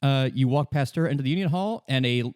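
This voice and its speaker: A man narrating an improvised tabletop game as game master, halting and informal. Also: mid-range voice, a little mellow, clean tone.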